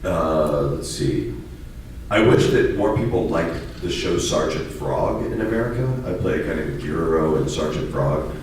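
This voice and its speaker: A man with a deep voice, speaking as a voice actor on a convention panel with deadpan, mock-formal jokes. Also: unhurried. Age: adult with a young daughter. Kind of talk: joking panel press conference. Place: large convention panel room. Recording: very echoey room, distant mic, faint noise.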